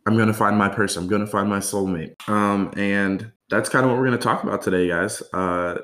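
A man's voice: lowish voice, steady tone, a little fast, fairly bright tone. A man hosting a podcast, talking casually and filling his speech with likes. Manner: friendly, filler-heavy, says like a lot. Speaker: podcast host.

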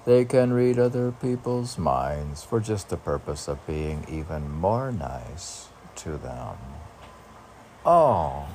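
A man reading poems aloud informally as a poet, with halting, musing asides between the lines. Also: very deep voice, very animated, darkish tone, slight background noise.

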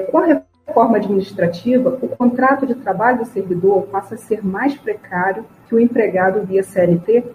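A woman moderating a live panel, speaking in a measured, explanatory way. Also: medium pitch, mellow. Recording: echoey room with faint background noise.

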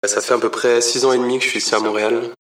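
Man, from the Paris region, relaxed, hesitant, distant mic. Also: mid-range voice. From France, Paris region. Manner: relaxed, hesitant, many pauses. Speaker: artist guest. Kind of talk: casual interview chat. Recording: echoey room, voice from a distance.

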